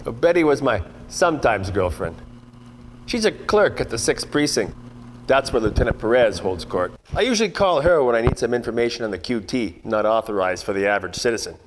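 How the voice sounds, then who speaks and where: A man's voice: medium pitch, brisk, lightly acted. Man acting a hardboiled 1940s detective in echoey hall. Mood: wry narration. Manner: wry, world-weary, slangy deadpan narration. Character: hardboiled, wisecracking 1940s male private detective, burly and cocky. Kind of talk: 1940s radio noir parody acting. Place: theatre production shot before green screen. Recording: echoey hall, faint noise.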